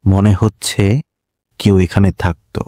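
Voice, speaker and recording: A man's voice: lowish voice, flat delivery, a little slow, dark tone, no room sound, clean studio sound. A man playing a cheeky, bold-talking young detective in a cartoon drama. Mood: wry.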